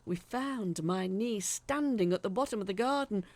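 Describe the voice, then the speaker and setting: Woman, breathy and creaky, uneasy confiding narrator, first-person spooky audio story. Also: medium pitch, moderate pace, darkish tone, dry recording.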